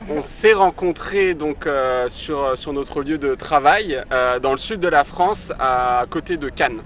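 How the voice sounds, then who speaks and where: A man's voice: on the high side, slow, a bit bright, a little hiss or hum. Hesitant young man, lots of "euh". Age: 20s. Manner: hesitant, many fillers and restarts. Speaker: interview guest, entrepreneur. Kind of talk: casual interview answers. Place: outdoors by the sea in a city.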